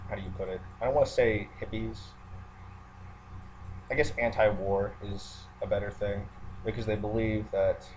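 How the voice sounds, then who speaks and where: Low-voiced man rambling over background noise. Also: dark tone, low-quality recording. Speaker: online video ranter who works in the military. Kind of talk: unscripted monologue rant to listeners. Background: background noise, roommate nearby.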